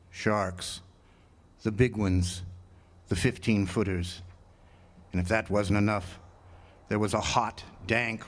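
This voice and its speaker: A man playing a lighthouse keeper in a radio drama, telling his tale vividly and deliberately, savoring each description. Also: lowish voice. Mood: ominous, brooding. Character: lighthouse keeper recounting a grim, atmospheric adventure tale. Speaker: narrator and lead actor. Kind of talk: dramatic old-time radio storytelling. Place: amphitheater stage performance. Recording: noisy live amateur theatre recording.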